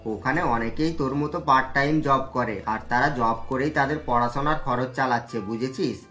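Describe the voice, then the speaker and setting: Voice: mid-range voice, moderate pace, fairly bright tone, soft background music, voice pushed down, lightly acted. A performer voicing a helpful, confident young man, a student's friend, reassuring him casually in a cartoon story.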